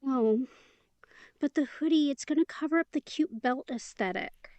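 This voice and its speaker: A woman's voice: high-pitched, moderate pace, darkish tone, dry recording, muffled recording, natural voice. An actress playing a chatty, eager, sweetly stubborn young woman in a romantic fantasy audio drama, speaking in a conversational, emphatic way. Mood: bright, eager.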